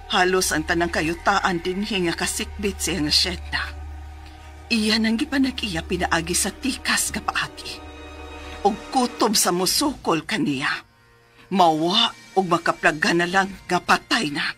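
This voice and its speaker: Radio drama mother: anxious, protective, pleading, forceful bright voice. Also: on the low side, unhurried, faint music behind. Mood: grim. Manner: emotional, pleading. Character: anxious, protective mother warning her grown son. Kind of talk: Philippine radio drama acting in Cebuano.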